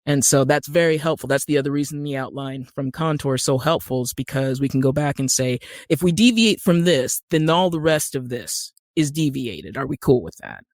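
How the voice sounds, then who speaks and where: A woman with an American accent, talking as an interview guest in a conversational, animated storytelling way that acts out bits of dialogue. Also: a bass voice, a little fast, hardly any pauses, no echo, studio quality. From Utah, United States. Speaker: guest, actor and screenwriter.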